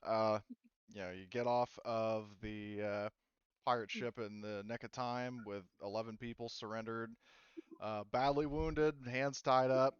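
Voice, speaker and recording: Guy game mastering a tabletop rpg, smooth voice, thinks out loud, dry but kinda muffled mic. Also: medium pitch, steady tone, measured pace, dry recording. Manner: hesitant, thinking aloud.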